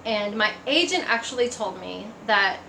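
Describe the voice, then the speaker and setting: A woman's voice: mid-range voice, animated, moderate pace, creaky, sharp tone. A woman giving a personal testimony in a casual, conversational storytelling way. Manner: conversational, acts out remembered remarks. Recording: echoey room, faint background noise.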